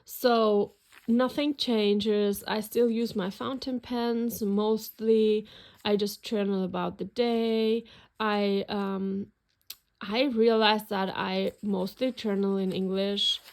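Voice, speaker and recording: A woman's voice: mid-range voice, steady tone, slow, vocal fry, a little mellow. A woman whose first language is German, casually narrating a journal flip-through in a rambling, hesitant way with many fillers. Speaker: journaling vlogger.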